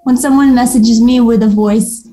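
A woman's voice: a little high, fairly even intonation, normal speed, warm tone. A woman, chatting as an interview guest and laughing often. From Moldova. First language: Moldovan and Russian. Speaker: guest artist. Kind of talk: casual conversation.

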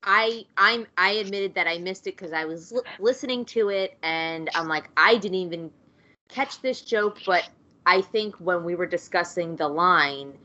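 A woman's voice: fairly low pitch, a little slow, bright, forceful tone. A woman chatting casually about a book, rambling through asides and many fillers. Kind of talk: casual chat. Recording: dull lo-fi sound.

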